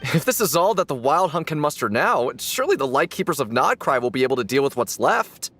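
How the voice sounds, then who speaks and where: A man's voice: a little high, big pitch swings, a little fast, fairly bright tone, soft background music, exaggerated voice. A man playing an assured knight soldier on expedition in a video game. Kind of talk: video game character acting.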